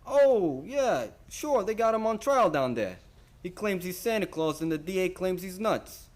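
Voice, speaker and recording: A man acting an excitable high-pitched postman. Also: a little slow. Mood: chummy. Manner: chummy, slangy, eager. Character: excitable working-class male postman with a scheme. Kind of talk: radio play acting.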